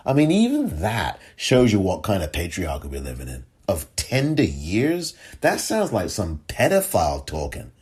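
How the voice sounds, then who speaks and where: A middle-aged man delivers a solo podcast commentary in a husky, slightly strained voice that cracks from excitement. He talks slowly and deliberately, swinging widely in pitch and jumping high whenever he turns sarcastic or indignant. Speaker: podcast host.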